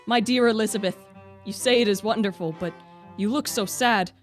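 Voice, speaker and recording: A woman's voice: medium pitch, normal speed, soft background music, close to an everyday voice. Young woman playing a tormented, earnest young man. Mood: tender, uneasy. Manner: earnest, formal literary diction. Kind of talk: student radio play acting.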